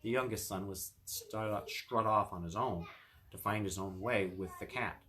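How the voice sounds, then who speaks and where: A man's voice: fairly low pitch, moderate pace, fairly bright tone. A man reading a fairy tale and explaining its lesson in an informal, explanatory way. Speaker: attorney reading a fairy tale and giving estate tips. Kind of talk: storytelling and informal explanatory talk.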